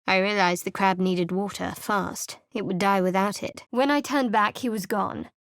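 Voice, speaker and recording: Woman doing sardonic young fantasy game cleric gal, guarded, dry clipped delivery, medium pitch, no room sound. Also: fairly even intonation, normal speed, a bit bright, dry recording, lightly acted. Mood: measured, storytelling. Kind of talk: fantasy video game voice acting.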